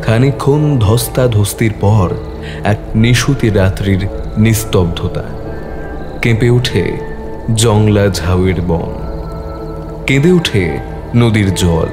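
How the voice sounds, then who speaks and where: A man narrating a scripted horror story dramatically, in short, suspenseful phrases. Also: lowish voice.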